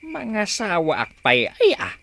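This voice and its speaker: A stingy, grumbling older uncle in an Ilocano radio comedy drama. His Filipino-accented voice is forceful and bright, with very wide swings in tone. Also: sits high, wildly swooping pitch, slow, creaky, slight background noise, exaggerated voice. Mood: flustered, grumbling. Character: grumpy, stingy older village uncle looking for love.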